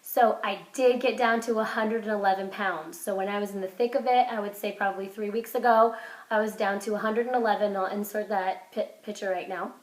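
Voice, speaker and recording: A woman vlogging casually while sick with a cold, her voice hoarse and congested. She rambles with lots of fillers and restarts, keeping an upbeat, self-encouraging tone. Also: medium pitch, fairly even intonation, brisk. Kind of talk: casual vlog chat. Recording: roomy echo.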